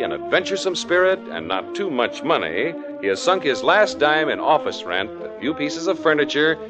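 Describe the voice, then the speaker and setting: A male announcer with a polished, emphatic delivery reads copy in the old broadcast style. His voice is fairly high and swings widely. Orchestra music plays under a dull, muffled recording. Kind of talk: scripted radio commercial and narration.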